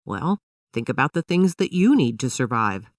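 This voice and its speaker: A woman's voice: extremely low pitch, very animated, moderate pace, no room sound, clean studio sound, distant mic. A woman voicing a patient father who explains things to his young daughter in a children's storybook, in an instructive manner.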